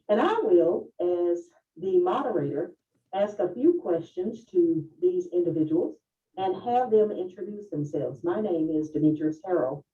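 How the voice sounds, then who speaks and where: A woman of fifty-five moderating a panel in a formal, deliberate and courteous way. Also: lowish voice. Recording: distant, roomy, dull lo-fi video sound.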